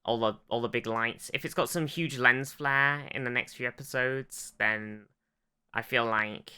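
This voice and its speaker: A man's voice: medium pitch, unhurried, bright, forceful tone, smooth. A man co-hosting a podcast in casual, gushing fan chat, swearing and repeating words as he searches for phrasing. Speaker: podcast host.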